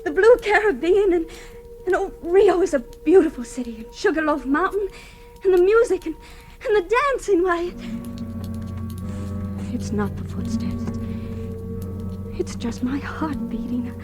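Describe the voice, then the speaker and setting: An actress playing a fragile, panicked young woman in an old radio horror play, breathless, halting and repeating herself. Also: extremely high pitch, expressive. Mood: terrified. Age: young adult. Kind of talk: 1940s radio horror acting, monologue. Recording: old radio drama with music underneath.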